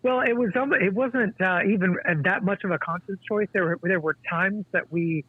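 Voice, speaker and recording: A man in his forties, a guest author, speaking in a halting, thoughtful way with many restarts. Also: high-pitched, breathy edge, dry recording. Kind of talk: casual interview conversation. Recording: tinny dry phone line.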